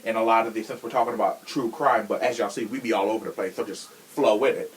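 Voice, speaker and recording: A man's voice: on the low side, fairly even intonation, quick pace, rough recording. A man hosting a casual true crime podcast, talking in a sassy, frank way with profane slang. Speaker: podcast host.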